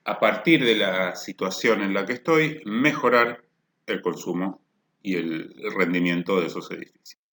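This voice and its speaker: A man's voice: deep voice, moderate pace, fairly bright tone. Lecturing man in an echoey room. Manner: explanatory, rephrases points with "o sea" and "¿no?". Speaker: energy efficiency lecturer. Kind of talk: technical workshop lecture.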